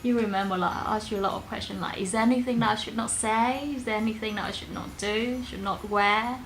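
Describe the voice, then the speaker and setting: A woman's voice: fairly high pitch, fairly even intonation, fast, a bit bright, slight background noise. A young woman from Vietnam with a Vietnamese accent, chatting casually in a couple's Q&A video. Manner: chatty, acts out her own worried questions. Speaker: vlogger. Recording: background music under the talk.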